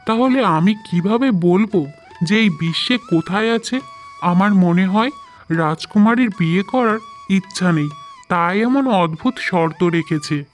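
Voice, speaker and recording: Casting: male actor as forest sage in a cartoon, deliberate, advisory, solemn, music underneath. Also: sits high. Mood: puzzled. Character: forest holy man, solemn, cautioning hermit.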